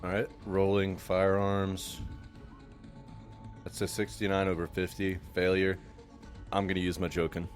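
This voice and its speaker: A man's voice: low-pitched, completely flat delivery, measured pace, pauses often. A man chatting casually as a player in a tabletop role-playing game. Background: music.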